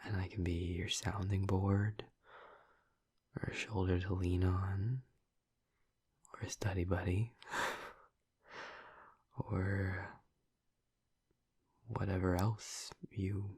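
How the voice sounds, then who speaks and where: A man playing a gentle, flirty, earnest vampire in an intimate audio roleplay, murmuring softly and hesitantly in a breathy, gravelly voice. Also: low voice, level tone, no echo, full character voice. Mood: supportive, gentle. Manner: soft, hesitant, halting, reassuring.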